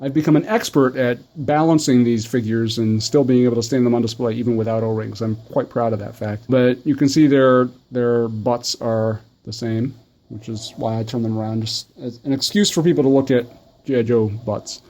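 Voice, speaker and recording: Guy doing a chill toy review, relaxed mellow voice, kinda rambly and conversational. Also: medium pitch, normal speed. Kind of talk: casual video review commentary.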